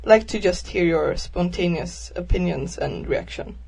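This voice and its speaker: A young woman from Sweden presents her topic on a radio chat in an earnest way, with frequent small ums between her thoughts. Her voice sits somewhat low for a woman and has a breathy, husky edge. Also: measured pace, a little mellow. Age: young adult. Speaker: volunteer guest presenting a topic.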